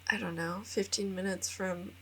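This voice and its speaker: A woman's voice: mid-range voice, flat delivery, normal speed, fairly bright tone, a little hiss or hum, poor audio. Chatty young American woman vlogging. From United States. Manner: chatty, rambling, many filler likes, laughs at herself; self-deprecating, upbeat. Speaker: vlogger and English teacher in Japan. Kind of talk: casual vlog voice-over.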